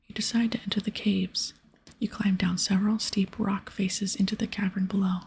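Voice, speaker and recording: A woman whispering in a breathy ASMR style as she casually plays through a game, chatting softly and reading dialogue aloud. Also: mid-range voice. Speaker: host of an ASMR gaming series.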